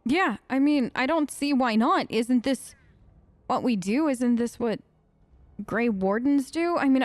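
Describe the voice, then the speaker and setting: A woman's voice: on the high side, no room sound. A woman gamer improvising her character's dialogue in a casual, friendly and slightly hesitant way. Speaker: gamer role-playing her character in a let's play.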